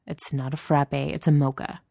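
A woman doing a low, grumpy deadpan office guy. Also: moderate pace, no room sound, studio quality. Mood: sullen. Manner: gruff, deadpan, sarcastic. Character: grumpy, dry-witted, caffeine-starved male office writer.

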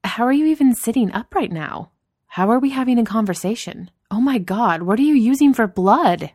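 A woman's voice: on the high side, lively intonation, moderate pace, darkish tone, dry recording, pitched above the performer's own voice. Brash female boss, put on by a woman narrator, teasing, theatrical, raised voice, close dry sound, for storytelling. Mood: mock sympathy. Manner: teasing, mock-dramatic.

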